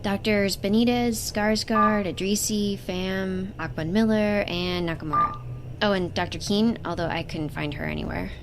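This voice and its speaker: A woman's voice: medium pitch, moderate pace, heavy background noise, natural voice. A woman playing a nervous but eager lab scientist in a science fiction audio drama, chatty and a little hesitant. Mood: eager, helpful.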